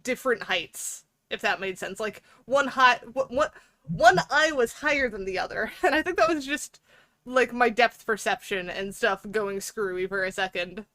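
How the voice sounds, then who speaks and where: A woman's voice: a little high, normal speed, sharp tone, no room sound. A woman hosting a livestream, chatting casually with viewers in a playful, giggly, rambling way. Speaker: livestream host.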